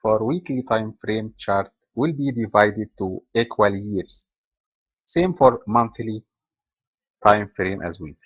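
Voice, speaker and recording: A man from Egypt presenting a trading webinar as host, delivering a steady scripted lecture with non-native phrasing. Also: on the low side, takes it slowly, breathy edge, no echo, dull audio.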